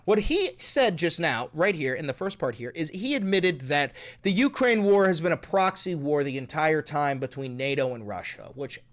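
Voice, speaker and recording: Profane commentary vlogger ranting, sarcastic and hyped, pitch all over the place. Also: normal speed, hardly any pauses. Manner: excitable, sarcastic, swearing, repeats punchlines. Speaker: political commentary host. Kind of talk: profane casual rant.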